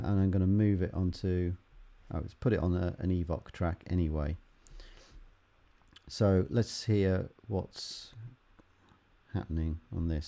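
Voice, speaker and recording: A man teaching music production in an unscripted tutorial, haltingly thinking aloud as he works through each step. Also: low-pitched, dark tone. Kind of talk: unscripted software tutorial, explaining while demonstrating.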